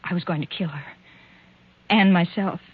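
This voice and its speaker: Faltering wife on tinny radio. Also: female voice, lowish voice, normal speed, slightly rough. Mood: pleading. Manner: faltering, pleading, melodramatic. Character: frightened, guilt-ridden wife under suspicion. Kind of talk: 1940s radio drama acting. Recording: tinny phone-like 1940s radio broadcast.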